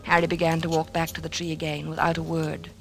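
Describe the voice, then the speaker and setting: Anxious wife over music. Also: female voice, low-pitched, completely flat delivery, fast talker, fairly bright tone. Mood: grave narration. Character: anxious, devoted wife narrating her husband's decline. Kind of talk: old-time radio drama narration and acting.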